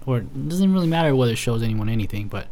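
An American man from San Francisco speaking as a podcast guest, opinionated and deliberate, often searching for his words. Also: mid-range voice, animated, dark tone. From San Francisco, United States. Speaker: podcast guest, healthcare provider. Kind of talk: opinionated casual discussion.

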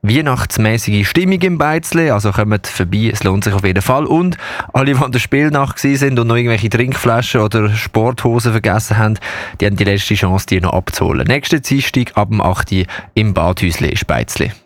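A man's voice: fairly low pitch, a little fast, no echo. A man with a Swiss German accent, a radio show technician, casually announcing an upcoming event.

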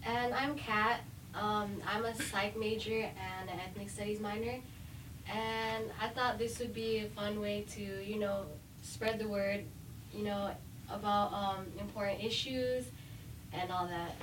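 A woman's voice: mid-range voice, steady tone, unhurried. A young woman chatting easygoingly on a casual student podcast. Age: young adult. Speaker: podcast co-host. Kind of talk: casual chat. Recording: roomy, distant, noisy.

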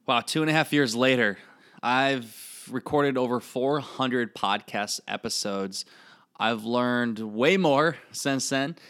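A man's voice: mid-range voice, slow, a bit bright, smooth. A man with an Iowa Midwestern accent speaks informally and emphatically, as a podcast host giving a motivational solo monologue. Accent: Iowa Midwestern American. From Iowa, United States.

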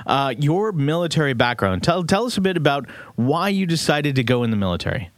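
A man's voice: on the high side, very animated, fairly quick, dry recording. A man hosting an interview podcast, chatting casually and upbeat, with lots of fillers and restarts. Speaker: podcast host.

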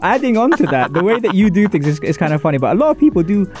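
A man hosting a radio talk show, upbeat and animated, interviewing a studio guest. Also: rapid-fire, faint music behind. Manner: upbeat, animated presenter with lively pitch.

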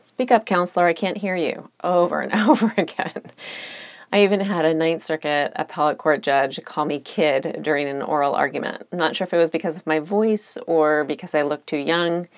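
Low-voiced woman hosting a podcast. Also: steady tone, no echo. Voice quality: occasional vocal fry and uptalk. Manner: reflective, measured, candid. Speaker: podcast host, lawyer. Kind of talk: solo podcast monologue.

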